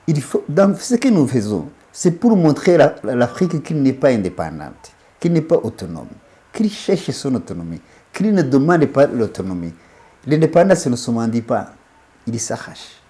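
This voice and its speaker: A man speaks emphatically, restarting and repeating phrases as he builds a point. His voice is mellow and dark, at a middle pitch. He sounds like a guest in an interview. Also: very animated, moderate pace. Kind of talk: interview, political commentary.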